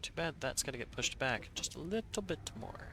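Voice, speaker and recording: A man's voice: fairly high pitch, expressive, moderate pace, gravelly, a bit bright, a little hiss or hum. A man streaming a factory-building game live, thinking aloud with playful asides to himself. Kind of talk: live gameplay commentary.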